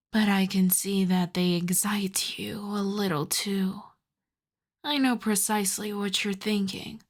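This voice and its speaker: A predatory vampire countess, acted by a woman, croons in a soft, breathy voice at a mid-range pitch. She takes her time, drawing words out very slowly and often sinking into whispers. The ASMR roleplay delivery is seductive, teasing and condescendingly tender. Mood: predatory, amused.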